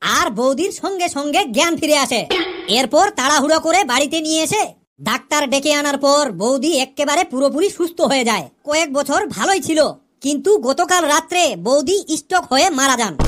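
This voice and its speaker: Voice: high voice, fairly bright tone. A woman voicing a cheeky, argumentative schoolboy pupil in a comedy cartoon, long-winded with smart-aleck answers.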